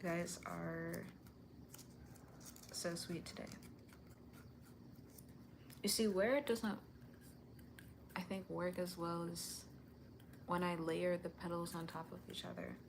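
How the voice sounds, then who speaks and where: A young woman livestreaming while she animates, chatting casually and answering viewer comments in a soft, sweet-toned voice. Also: lowish voice, level tone, slow, creaky, pauses often, background noise.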